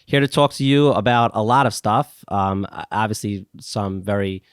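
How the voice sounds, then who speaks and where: A man's voice: lowish voice, moderate pace, clear, resonant tone, dry recording. A man hosting a podcast in a casual, conversational way, somewhat hesitant and repeating words as he goes. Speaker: podcast host.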